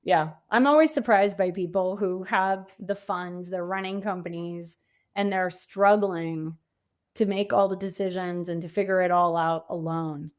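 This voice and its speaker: A woman speaking conversationally as a podcast guest and coach, telling stories in an animated way with bits of quoted dialogue. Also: on the low side, unhurried, clear, resonant tone. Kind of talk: conversational interview.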